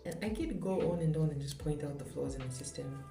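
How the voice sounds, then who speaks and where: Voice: medium pitch, brisk, vocal fry, mellow. A young adult vlogger from Zambia, speaking in an earnest, candid opinion monologue with light laughs. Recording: echoey room with background music.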